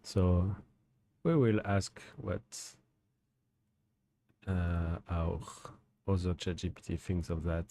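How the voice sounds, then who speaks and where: Man, livestream host, hesitant, halting, slow, low voice. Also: fairly even intonation, gravelly, mellow. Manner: hesitant, halting, many fillers. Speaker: host testing a chatbot. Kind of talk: casual livestream talk.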